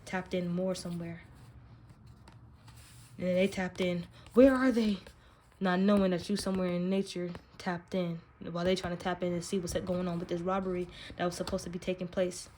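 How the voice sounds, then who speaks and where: A woman's voice: lowish voice, flat delivery, normal speed, a little mellow, a little hiss or hum. A woman giving a casual spiritual card reading, speaking directly to the listener in an emphatic, slangy, profane way. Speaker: tarot card reader, channel host.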